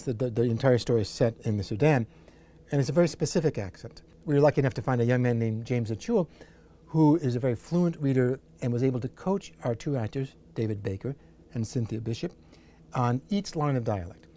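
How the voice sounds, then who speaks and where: A man explains his production in an unscripted interview. His voice is mid-pitched and mellow, his delivery measured. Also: expressive. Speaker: audiobook producer and director. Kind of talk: unscripted behind-the-scenes interview.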